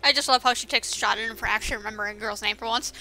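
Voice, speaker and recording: Female game commentator, high and piercingly bright, sarcastic and informal, casual delivery over game music. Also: big pitch swings, fast talker. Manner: sarcastic, exclamatory; informal, swearing. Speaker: gameplay commentator.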